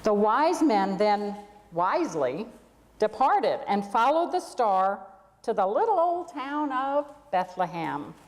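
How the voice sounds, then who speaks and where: A woman's voice: mid-range voice, very animated, slow. A woman reading a children's storybook aloud to a congregation in a church. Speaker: reader at a church. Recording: echoey hall with long reverb.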